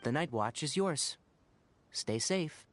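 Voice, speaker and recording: An actor playing a serious, principled young male forest ranger and former scholar in a video game, speaking in a measured, articulate, earnest way. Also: a little high, lively intonation, a little hiss or hum. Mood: calm, considerate. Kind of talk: scripted game dialogue.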